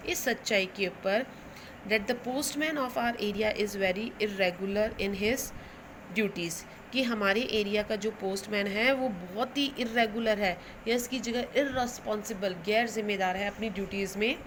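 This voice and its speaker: A woman's voice: mid-range voice, a little slow, a bit bright, slight background noise, low-quality recording. A woman with an Indian accent teaching English to school students, explaining instructively and switching between Hindi and English phrases as she repeats and translates.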